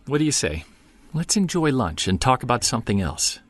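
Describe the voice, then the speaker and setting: A man's voice: medium pitch, sing-song, brisk. A man playing an earnest, wry, self-deprecating amateur monster hunter in a naturalistic audio drama. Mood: tender, hurt. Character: driven, self-deprecating male amateur Bigfoot investigator.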